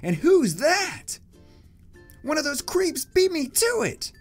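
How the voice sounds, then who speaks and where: A man's voice: extremely high pitch, wildly swooping pitch, takes it slowly, faint music behind, pitched above the performer's own voice, full character voice. A man voicing an eager, cocky young boy monster trainer in a comic manga reading. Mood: determined.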